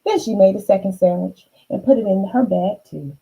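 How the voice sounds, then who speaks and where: A woman reading a children's storybook aloud, unhurried, with dramatic emphasis and chatty asides to the listener. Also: mid-range voice, creaky, mellow, husky, rough recording.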